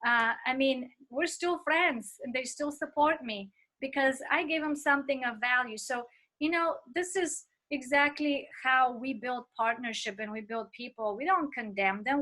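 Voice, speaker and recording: A woman's voice: sits high, moderate pace, sharp tone. A middle-aged woman who speaks with an accent, teaching a recorded online ministry class with earnest personal stories and frequent fillers. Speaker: ministry instructor.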